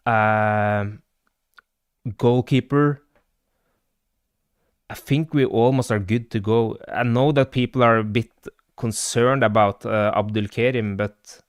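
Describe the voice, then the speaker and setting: A man's voice: medium pitch, smooth, dry recording, clean studio sound. A man with a Norwegian accent hosting a live stream, chatting loosely about his football club with lots of fillers and replies to chat. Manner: rambling with many fillers, answers live chat. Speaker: livestream host and football fan.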